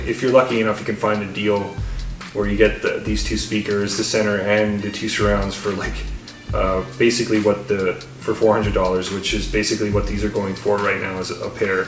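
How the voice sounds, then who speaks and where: A man giving a casual, unscripted gear review as channel host, halting and rambling, often repeating his words. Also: fairly low pitch. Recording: roomy echo, distant mic, faint music.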